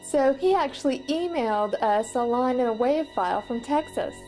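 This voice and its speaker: A woman's voice: sits high, animated, moderate pace, over music, poor audio. A woman reminiscing in a documentary interview as a studio collaborator.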